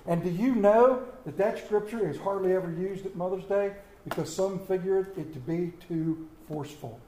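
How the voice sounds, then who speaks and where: A man preaching a Mother's Day sermon, folksy and storytelling, with dramatic slow pauses on quoted lines. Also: sits high, dark tone. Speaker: pastor. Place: church sanctuary. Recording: echoey church room.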